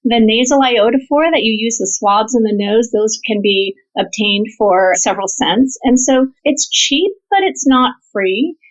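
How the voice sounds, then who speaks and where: Higher-voiced woman expert, slightly dull audio. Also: lively intonation, unhurried, few pauses. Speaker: interview guest, physician researcher and professor. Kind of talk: explanatory interview answers. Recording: dull, band-limited audio.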